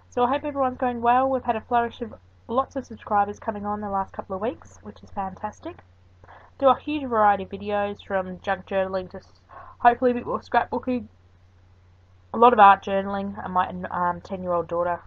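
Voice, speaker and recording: A woman chatting her way through a voice-over craft tutorial, rambling a little with frequent ums. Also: medium pitch. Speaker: craft video host. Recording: dull lo-fi recording.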